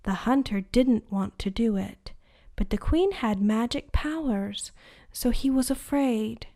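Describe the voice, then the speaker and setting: A woman hosting a bedtime story show, narrating a fairy tale in a soft, hushed, soothing way. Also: on the high side, animated, slow pace. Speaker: host and storyteller.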